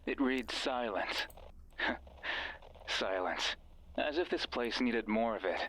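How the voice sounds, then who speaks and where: A man plays a menacing male voice from a horror visual novel, speaking through the night as a false friend. He is mid-pitched, deliberate and coaxing. Every phrase is measured, ominous and manipulative. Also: expressive, a bit bright, a little hiss or hum, rough recording. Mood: eerie, cruel musing.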